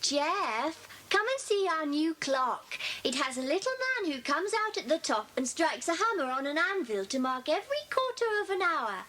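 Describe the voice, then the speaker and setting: A mischievous English schoolgirl feigns innocence in an audio drama. Her voice is very high and sing-song, with faint tape hiss and clicks. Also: big pitch swings, normal speed, hardly any pauses, bright, forceful tone, exaggerated voice. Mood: feigned innocence. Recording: hissy, clicking old cassette.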